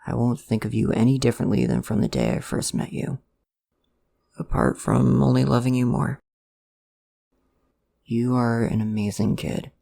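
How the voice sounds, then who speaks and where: A performer playing a nervous, loving, jokey adoptive father in an ASMR roleplay, speaking in a halting, conversational way with a creaky voice. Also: medium pitch, lively intonation, mellow. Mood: tender, reassuring. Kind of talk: ASMR audio roleplay, one-sided dialogue.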